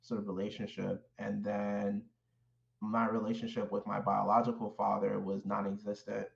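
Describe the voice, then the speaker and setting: A man born in Haiti speaks in a low, gravelly, rather flat voice, reflecting slowly on his childhood and pausing often with fillers. The sound is dull and echoey, as if captured on a remote call in a bare room. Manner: measured, thoughtful, with many fillers and restarts. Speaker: guest, clinical social worker. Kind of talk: reflective personal storytelling.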